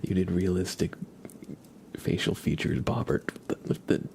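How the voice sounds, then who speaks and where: A man hosting an ASMR livestream, talking softly in a gentle, unhurried, chatty way to his viewers. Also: low-pitched, flat delivery, gravelly, a little hiss or hum. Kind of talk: ASMR, soft whispered live chat and reading.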